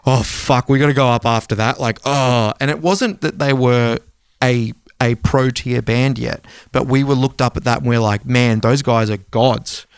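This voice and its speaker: A man in his thirties with a smooth, clean voice at a medium pitch. He talks like a podcast co-host swapping stories, casual and animated, swearing freely. The sound is dry and free of room echo. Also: normal speed. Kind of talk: casual conversational podcast talk.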